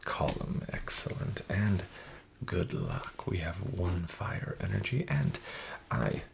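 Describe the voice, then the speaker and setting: Male casino dealer, soft and half-whispered, gravelly and lilting, ingratiating. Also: low voice, slow pace. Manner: soft-spoken, half-whispered, smooth and flattering salesman patter. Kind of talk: soft-spoken ASMR roleplay.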